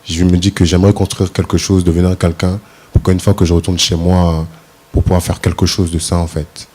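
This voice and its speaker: A man from DR Congo speaks in a very deep, dark voice with little melody. He answers in short, earnest, opinionated phrases. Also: flat delivery, a little fast, husky. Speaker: pageant contestant. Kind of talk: casting interview answers.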